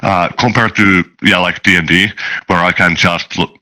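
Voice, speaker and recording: A man explaining game design in a casual panel discussion, speaking in a halting way with frequent restarts. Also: sits low, steady tone, pushed and bright. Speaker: tabletop game designer and game master.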